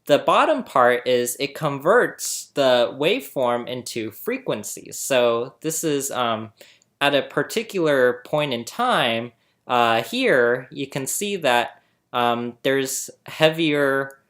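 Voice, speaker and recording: A man's voice: mid-range voice, sing-song, takes it slowly, fairly bright tone. A man hosting a casual tutorial, chatty and laughing often as he explains, recorded on a laptop mic indoors. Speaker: host explaining phonetics. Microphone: laptop webcam mic.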